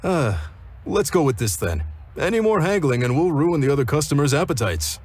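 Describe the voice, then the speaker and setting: A man's voice: on the high side, big pitch swings, normal speed, darkish tone, breathy edge. A man voicing a proud, genial middle-aged chef in a game cutscene, bargaining cheerfully, with game music behind.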